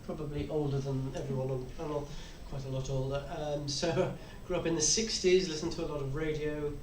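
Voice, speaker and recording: A man's voice: a little high, flat delivery, a little fast. Echoey recording of an older man. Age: 60s. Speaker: publisher and podcaster, panellist. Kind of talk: conversational panel answers. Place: convention panel room. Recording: echoey room, distant mic, faint noise.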